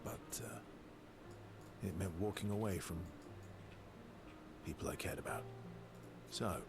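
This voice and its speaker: A man's voice: low voice, measured pace, background noise. A man playing a wry, guarded man with a scarred past in naturalistic video game dialogue, understated and dryly teasing. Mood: wry, confiding. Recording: prominent game music behind dialogue.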